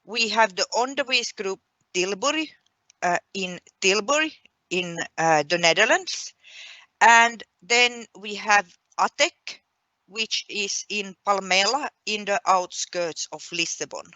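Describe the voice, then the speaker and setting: A woman presenting a webinar as its host and coordinator, speaking in a deliberate, explanatory way. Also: mid-range voice, animated, bright, forceful tone, no echo, muffled recording. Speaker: project coordinator hosting a webinar.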